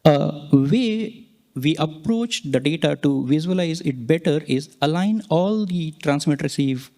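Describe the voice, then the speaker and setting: A man presenting scientific results, explaining charts step by step and pausing mid-sentence to point things out. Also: fairly high pitch, a little mellow. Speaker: conference presenter, researcher.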